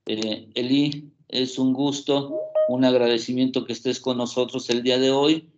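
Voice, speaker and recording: A man's voice: medium pitch, level tone, normal speed, muffled recording, over music. A man moderating a university event, formally introducing a speaker and handing over the microphone, with frequent fillers.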